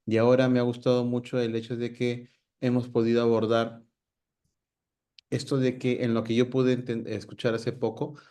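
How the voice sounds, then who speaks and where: A man's voice: mid-range voice, monotone, normal speed, dark tone. A man, hosting a talk and reflecting thoughtfully with many fillers. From Peru. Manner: reflective, asks the guest's view, many fillers. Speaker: host. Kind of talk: casual conversational talk.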